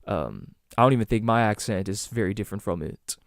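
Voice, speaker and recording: A man's voice: fairly low pitch, animated, brisk, creaky, dry recording. Rambling Canadian man, dry close sound. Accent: Canadian, Greater Toronto Area. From Southern Ontario, Canada. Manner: rambling, many ums and restarts. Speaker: podcast host. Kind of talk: casual solo podcast monologue.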